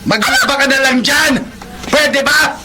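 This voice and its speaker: An actor playing a defensive, short-tempered cheating husband in a Filipino radio drama, dismissive and blustering, with a Filipino accent. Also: male voice, very high voice, unhurried. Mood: irritated, defensive. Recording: noisy radio drama with background ambience.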